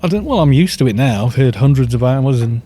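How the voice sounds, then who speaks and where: Soft-spoken man streaming a game. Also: medium pitch, expressive, fast talker. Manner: soft-spoken, rambling, chuckling. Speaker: livestream gamer. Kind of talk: casual chat while gaming, reading chat. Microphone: studio microphone.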